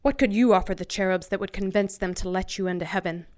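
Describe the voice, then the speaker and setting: A woman playing an ancient, proud warrior archangel in an audio drama, speaking in a grave, formal, measured way. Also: mid-range voice, darkish tone, close to an everyday voice. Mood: cold, guarded.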